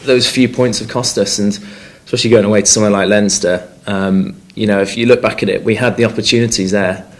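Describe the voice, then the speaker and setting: A man's voice: on the low side, fairly even intonation, fast, few pauses. A young man from North Wales, a rugby player, answering press conference questions with lots of fillers and restarts. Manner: hesitant, many fillers and restarts.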